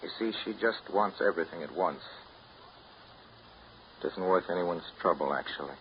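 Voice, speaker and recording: A man's voice: sits low, moderate pace, lightly acted. An actor playing a weary, detached man who is through with a relationship, speaking bluntly and with resignation in 1930s radio drama style. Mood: indifferent, resigned. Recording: muffled, noisy 1930s radio broadcast recording.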